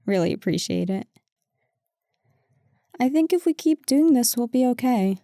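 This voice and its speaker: Woman acting a bickering girlfriend, mellow, unhurried, very dry. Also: a little high, animated, no room sound. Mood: conciliatory, hesitant. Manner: conversational, exasperated, sarcastic, addressing an unheard partner. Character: bickering, defensive but affectionate girlfriend in couples counseling. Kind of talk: intimate audio roleplay acting.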